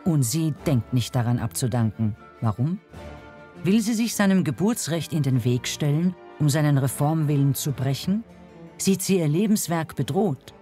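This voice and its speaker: A woman narrates from a script in a warm, relaxed timbre. Her delivery is measured and uses dramatic pauses, with light music behind her. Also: low-pitched. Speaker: documentary narrator. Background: faint music.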